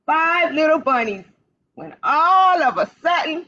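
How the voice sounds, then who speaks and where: A woman hosting storytime for young children, reading aloud in an animated, sing-song way and putting questions straight to the kids. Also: high voice, a little slow, a little hiss or hum. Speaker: library storytime host for children. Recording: dull, lo-fi livestream sound.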